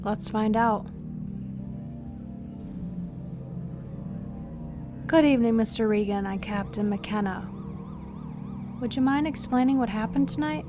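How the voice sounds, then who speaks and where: A woman's voice: a little high, moderate pace, background noise, lightly acted. A woman playing a tough, sardonic police captain who questions relentlessly in an audio drama. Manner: sarcastic, probing, unflinching. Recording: music behind the voice.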